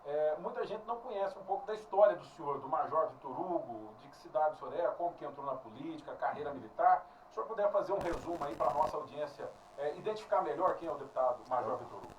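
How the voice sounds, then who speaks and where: Fast-talking male interviewer off-mic. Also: fairly high pitch, animated. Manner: quick, polite, addresses guest formally. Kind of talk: casual interview questions. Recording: roomy, distant, dull sound with faint noise.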